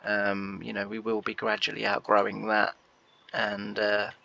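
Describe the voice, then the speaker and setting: Male game host, stuffy-nosed from a cold, rambling, deepish bright voice, dull dry mic. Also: completely flat delivery, measured pace, vocal fry, no room sound. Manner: rambling, many fillers and restarts. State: nasal from a cold. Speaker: gameplay host. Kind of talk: casual gameplay commentary.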